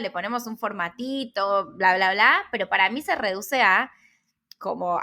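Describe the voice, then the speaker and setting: A woman's voice: on the high side, sharp tone. A woman with an Argentine accent in casual interview conversation, thinking aloud informally as she repeats and rephrases. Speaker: interviewer and personal branding consultant.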